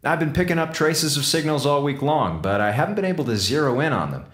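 Theatrical, animated wisecracking space officer. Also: male voice, on the high side, fast, reverberant. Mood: flippant, casual. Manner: sarcastic, theatrical, slangy. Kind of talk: audio drama acting.

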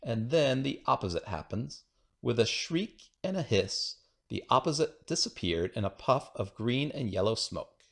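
Expressive man reading a storybook, lively intonation. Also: mid-range voice, unhurried, creaky. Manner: expressive storytelling with acted dialogue. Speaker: storybook reader. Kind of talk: children's picture book read-aloud.

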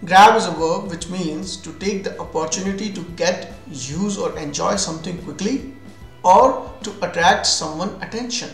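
A man's voice: sits high, normal speed, gravelly. A man with an Indian accent giving a scripted English vocabulary lesson, explaining in an instructional way. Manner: instructional, defining terms then giving examples. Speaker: English teacher. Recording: roomy echo with music behind.

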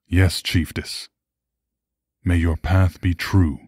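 Man playing a very deep, solemn werewolf warrior. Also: flat delivery, slow pace, a little mellow, dry recording. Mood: solemn obedience. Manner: solemn, deferential. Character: loyal, solemn male werewolf warrior obeying his chief.

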